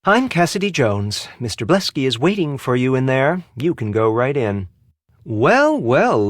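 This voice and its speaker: A man's voice: mid-range voice, no echo, clean studio sound. A man playing a sardonic spa receptionist in a video game, with wry, drawling sarcasm. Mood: sarcastic. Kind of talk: video game character dialogue.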